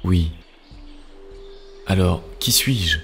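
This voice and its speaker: A man's voice: deep voice, drawn-out words, vocal fry, fairly bright tone, soft background music, full character voice. A man voicing a lost, troubled cartoon character who keeps questioning who he is. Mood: bewildered. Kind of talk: acted cartoon dialogue.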